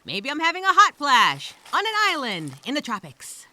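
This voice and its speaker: A woman's voice: sits high, huge pitch swings, normal speed, pushed and bright, full character voice. A woman voicing an anxious, rambling castaway who narrates a story as a dramatic, theatrical first-person journal. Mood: nervous, questioning. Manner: theatrical, overthinking, repeats herself, self-questioning.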